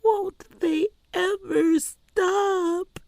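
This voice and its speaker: A woman voicing an earnest, stubborn eight-year-old girl with a small, breathy voice, in an audiobook story. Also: extremely high pitch, steady tone, extremely slow, a little mellow, no room sound, studio quality, pitched above the performer's own voice, heavily acted. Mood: tearful, whimpering. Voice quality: small, breathy, often whispering. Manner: earnest, insistent, plaintive.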